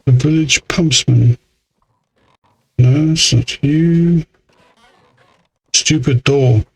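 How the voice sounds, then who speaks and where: A man's voice: medium pitch, drawn-out words, husky. A man giving casual let's play commentary in a grumbling, exasperated, mildly sweary way. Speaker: gaming video host.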